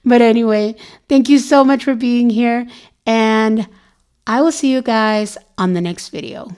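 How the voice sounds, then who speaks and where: Hoarse woman chatting to camera. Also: fairly high pitch, unhurried, darkish tone. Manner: chatty, rambling with many "you know" fillers. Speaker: vlogger. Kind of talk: casual chat to camera.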